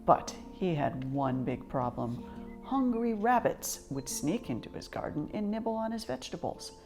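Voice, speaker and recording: A woman reading a children's picture book aloud in an expressive scripted storytelling style. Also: lowish voice, moderate pace, music in the background. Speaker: storybook reader.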